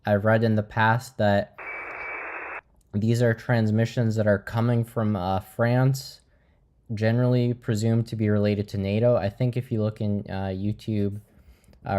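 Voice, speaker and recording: A man hosting a livestream, talking casually and haltingly as he thinks aloud. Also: lowish voice, darkish tone, clean tone. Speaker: livestream host.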